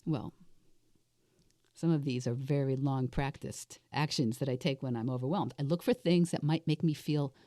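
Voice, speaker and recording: A woman in her sixties speaks in a low, soft-edged, raspy voice that sounds a bit under the weather. She thinks aloud like a life coach, deliberately posing questions to herself and answering them. The recording is close and dry. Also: steady tone, no room sound. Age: 60s. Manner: deliberate, reflective, asks and answers own questions. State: unwell with a cold-like illness. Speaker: life coach hosting a solo podcast.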